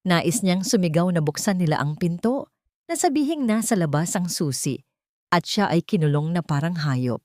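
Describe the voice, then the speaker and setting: A woman's voice: fairly low pitch, lively intonation, moderate pace, darkish tone, dry recording, studio quality. A woman narrator reading a dramatic scripted audio story.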